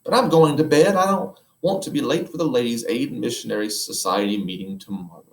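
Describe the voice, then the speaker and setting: A man's voice: medium pitch, fairly quick, gravelly, natural voice. A man reading a children's book aloud, voicing a fussy, thrifty housewife who scolds and is easily shocked. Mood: dismissive. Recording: echoey room.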